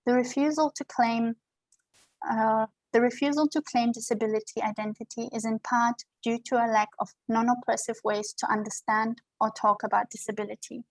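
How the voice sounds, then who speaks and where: A woman whose first language is German, giving an earnest, measured lecture from her notes over a video call from home. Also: on the high side, steady tone, dry recording, rough recording. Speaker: guest lecturer.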